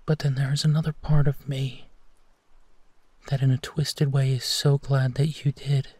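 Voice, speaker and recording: A man playing a gentle, protective werewolf who tenderly reassures his partner in an intimate bedtime roleplay, leaving long pauses. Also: mid-range voice, flat delivery, husky, no echo. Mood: tender, reassuring. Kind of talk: intimate roleplay audio, soft bedtime comfort.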